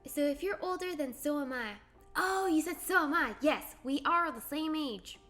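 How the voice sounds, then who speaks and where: A woman's voice: high voice, normal speed, faint music behind. Bubbly young woman chatting on a livestream. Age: late 20s. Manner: bubbly, chatty, reads viewer comments aloud and squeals with excitement. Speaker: livestream host. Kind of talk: casual chat while drawing on stream.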